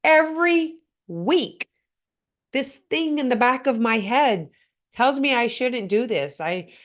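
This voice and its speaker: A woman's voice: sits high, animated, slow pace. Earnest woman hosting a motivational podcast. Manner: earnest, questioning the listener directly. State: slightly hoarse, congested. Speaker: podcast host. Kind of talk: motivational solo talk.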